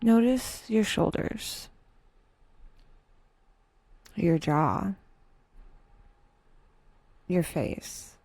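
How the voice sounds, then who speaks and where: A woman narrating a scripted guided meditation with wry, dry humor and a soft, breathy delivery, leaving unhurried pauses. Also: lowish voice, animated, no echo.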